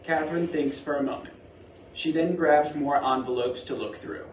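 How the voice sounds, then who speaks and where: A man reads stage directions in a scripted narrating style. His voice is a little high for a man, and it comes through dull and echoey from across a room. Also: normal speed, recorded from a distance, a little hiss or hum.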